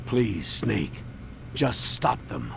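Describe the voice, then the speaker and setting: An actor playing an older military commander in a video game, grave, measured and earnest, speaking with weary authority. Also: male voice, mid-range voice, very animated, creaky, background noise, full character voice. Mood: grave, evasive.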